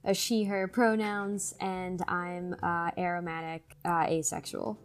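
A woman of twenty-seven, a podcast guest speaking hesitantly and quietly. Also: on the low side, level tone, measured pace, soft background music. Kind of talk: casual chat.

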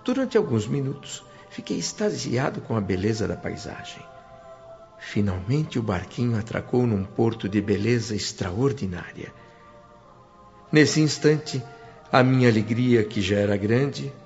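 An actor with a Brazilian accent narrating a radio drama as an emotional, reverent male spirit, solemn and expressive in a measured way. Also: on the low side. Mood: moved, joyful. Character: emotional male spirit recalling a blissful afterlife, reverent and awed. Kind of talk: scripted first-person dramatic narration. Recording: slightly dull radio drama with music. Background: music.

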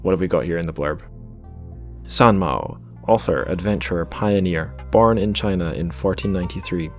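Low-voiced man hosting a podcast, chatty. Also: moderate pace, faint music behind. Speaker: podcast host. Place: remote recording over internet call.

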